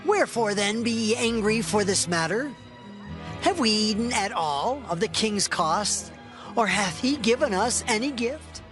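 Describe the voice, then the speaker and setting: A man's voice: high voice, lively intonation, measured pace. An indignant, defensive tribesman over music. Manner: declamatory, argumentative. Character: defensive, indignant man of Judah. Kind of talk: dramatized scripture acting. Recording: music behind the voice.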